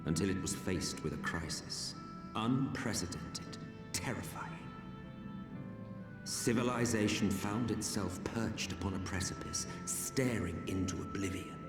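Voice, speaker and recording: An actor playing a weary, grandiose ancient villain in a video game cutscene, telling of lost history in a measured, wry way with hushed dramatic pauses. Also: male voice, low voice. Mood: grave, theatrical. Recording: echoey distant hall under loud music.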